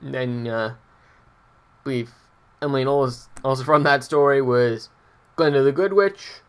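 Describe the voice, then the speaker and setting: A man's voice: mid-range voice, a little slow, clean tone. A young adult man telling personal stories casually as a vlogger, hesitant and meandering, often breaking off mid-sentence. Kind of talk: casual rambling personal storytelling.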